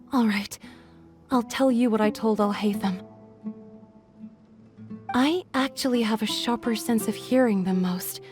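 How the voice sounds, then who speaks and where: A woman playing a guarded young village woman in a video game, speaking in a hesitant, measured, confiding way. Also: fairly high pitch, music in the background. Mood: confiding, thoughtful. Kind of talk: dramatic video game dialogue.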